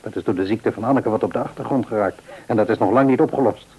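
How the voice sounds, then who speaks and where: A reassuring, devout father in a mid-century radio play. His voice is fairly low and noticeably husky. He counsels in a measured, earnest way. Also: darkish tone, low-quality recording. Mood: earnest, grateful. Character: devout, reassuring father of a household, middle-aged man.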